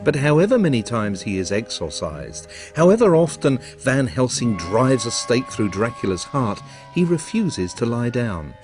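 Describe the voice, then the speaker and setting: A man narrating a radio arts documentary in a wry, measured storytelling manner. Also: medium pitch, big pitch swings, music in the background.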